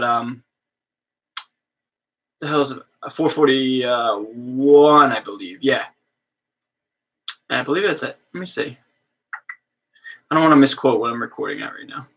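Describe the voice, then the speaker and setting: A young man from the US East Coast with a slow drawl, chatting casually with viewers on his livestream and padding his talk with fillers. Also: medium pitch, a bit bright. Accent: American East Coast. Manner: slow drawl, lots of fillers like "you know what I mean". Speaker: livestream host, musician.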